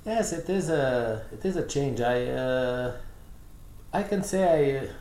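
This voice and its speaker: A man answering interview questions, hesitant with many pauses but good-humored. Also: mid-range voice, expressive, a little mellow. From Romania. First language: Romanian. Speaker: guest, restaurant owner. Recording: roomy sound with faint background.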